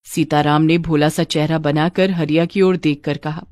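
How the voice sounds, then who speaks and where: A woman's voice: deep voice, fairly even intonation, normal speed, a little mellow, no room sound. A woman narrating a scripted village cartoon story.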